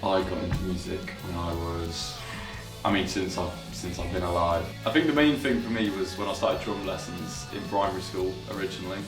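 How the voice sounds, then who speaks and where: A man's voice: sits low, fairly even intonation, a little fast. An eighteen-year-old man, telling stories casually in a documentary interview. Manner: casual, rambling storytelling. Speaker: band's lead singer and guitarist. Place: band practice room. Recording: echoey room, far from mic, music behind.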